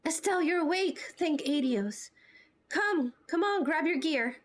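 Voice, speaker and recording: A woman doing amateur game voice acting as a bubbly, friendly, excitable young female fighter with a perky, informal manner. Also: very high voice, unhurried. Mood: urgent. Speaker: amateur voice actor playing through a game.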